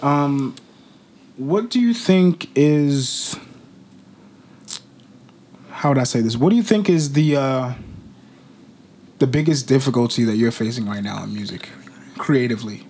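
A man's voice: medium pitch, a little slow, long pauses. A man hosting a podcast, chatting casually with lots of filler words. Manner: casual, filler-heavy, animated retelling. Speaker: podcast host. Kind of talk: casual interview chat.

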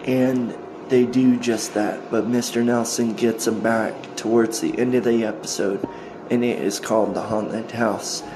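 Male podcast host, very slow halting delivery, even monotone, noisy home recording. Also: mid-range voice. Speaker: podcast host introducing old-time radio shows. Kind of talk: spoken introduction to episodes. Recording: home recording with clear background noise.

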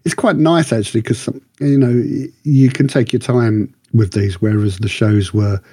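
A man's voice: mid-range voice, steady tone, moderate pace, dark tone, breathy edge, no echo, clean studio sound. A man co-hosting a podcast in a casual review chat, rambling and correcting himself with enthusiasm. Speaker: podcast co-host reviewing books and radio dramas.

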